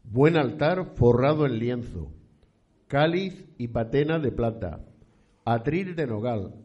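An actor playing a pious, stately eighteenth-century Spanish landowner in a radio play, speaking in a solemn, formal and courteous old-fashioned way. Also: male voice, medium pitch, expressive, slow, a little mellow. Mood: earnest, proud. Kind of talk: scripted historical radio play. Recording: dull, muffled lo-fi recording.

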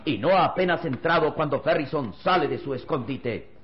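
A man's voice: on the high side, animated, normal speed, a little hiss or hum. A man narrating an old radio serial in a dramatic, emphatic, theatrical style. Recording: dull lo-fi old radio, loud music.

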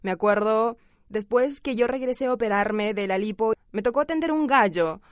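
A woman from Peru with a Peruvian accent, recounting a work experience in an interview and acting out the dialogue with lively storytelling. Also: fairly high pitch, moderate pace, dry recording, clean studio sound. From Peru, Lima. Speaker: service worker telling of a customer. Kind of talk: interview testimony.

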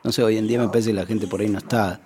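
A man's voice: lowish voice, fairly even intonation, racing, a loud crowd. A man with an Argentine accent, a voice-over performer, reminiscing casually as a guest.

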